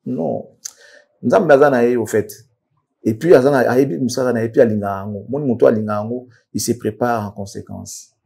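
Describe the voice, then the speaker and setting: A man's voice: mid-range voice, fairly quick, vocal fry, dark tone, slightly rough. A man commenting in a casual studio discussion, switching between French and Lingala, often restarting and repeating words. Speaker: commentator guest.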